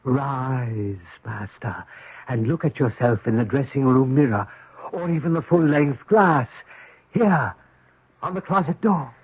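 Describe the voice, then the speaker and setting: An actor playing a supernatural giant servant, formal, measured and deferential, in a 1970s radio drama. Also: male voice, mid-range voice, slightly rough. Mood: calm, ominous. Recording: roomy, muffled 1970s radio broadcast.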